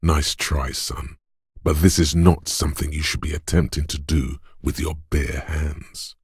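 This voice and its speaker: A man playing a gruff, domineering inner voice of brute strength in a video game, blunt and deliberate in delivery. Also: fairly even intonation, dry recording, clean studio sound, full character voice. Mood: stern, fatherly. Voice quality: gravelly, husky bass. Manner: blunt, domineering gym-coach goading mixed with slow literary narration. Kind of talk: video game voice acting, scripted narration.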